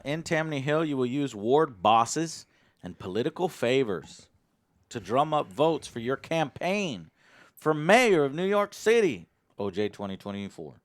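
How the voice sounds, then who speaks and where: A man's voice: mid-range voice, no echo. A man hosting a board game, casually explaining the rules and partly reading them aloud. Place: indoor game table on a livestream.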